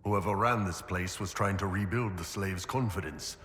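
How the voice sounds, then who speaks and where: An actor playing a burly, laid-back but loyal mercenary warrior in a video game, with a casual, blunt way of talking. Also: male voice, deep voice, moderate pace, a bit bright. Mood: wry, curious. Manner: casual, blunt, clipped phrasing. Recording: echoey hall, faint background noise.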